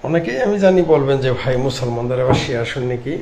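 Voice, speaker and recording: A middle-aged man with a Bangladeshi accent talks politics informally to the camera. His mid-pitched voice moves in short, halting phrases. Some noisy ambience sits behind him. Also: animated, darkish tone. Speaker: political commentator. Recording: clearly noisy background ambience.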